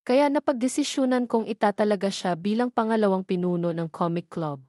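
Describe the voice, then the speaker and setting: A Filipino woman voicing a calm, encouraging young male student who leads a club in an audio drama. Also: medium pitch, a little fast, no room sound, studio quality, close to an everyday voice. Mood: composed.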